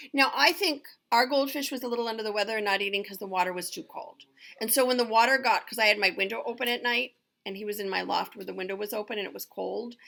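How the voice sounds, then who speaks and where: A middle-aged woman teaches small children through a read-aloud. Her voice sits fairly high and sounds bright, with noticeable creak. She explains emphatically and puts questions to her listeners.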